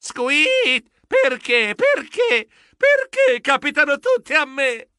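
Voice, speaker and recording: A man voicing an excitable, fussy, long-suffering male mouse in a children's audio story, with dramatic, whining exclamations. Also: sits high, slow pace, in a large hall, over loud music, pitched above the performer's own voice, heavily acted. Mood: dismayed.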